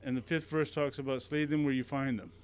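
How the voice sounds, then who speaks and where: A man of middle pitch speaks as a guest on a radio talk show. He is earnest and a little halting, repeats his words as he searches for them, and breaks into an easy laugh. A faint hiss sits under his voice. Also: fairly even intonation, low-quality recording. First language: not Arabic. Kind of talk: casual radio conversation.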